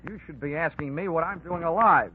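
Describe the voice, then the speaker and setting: Casting: male actor as wry, tough radio detective, slightly high voice, sardonic wisecracks, vintage 1940s broadcast sound. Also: a little fast, slight background noise, close to an everyday voice. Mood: tough questioning. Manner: wry, hard-boiled, sardonic. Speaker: lead investigator and narrator. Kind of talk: 1940s radio detective drama acting and narration. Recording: tinny 1940s radio recording.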